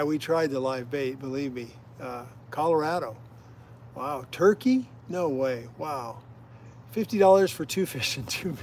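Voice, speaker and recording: A middle-aged man from Iowa with a slightly high voice talks casually outdoors by a canal. He reads out viewer comments and drifts into folksy, rambling stories. A faint hiss of noise sits under his voice. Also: darkish tone. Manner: folksy, rambling storyteller who drifts onto side tracks. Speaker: the host's father, guest storyteller. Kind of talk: casual livestream chat, reading out viewer comments.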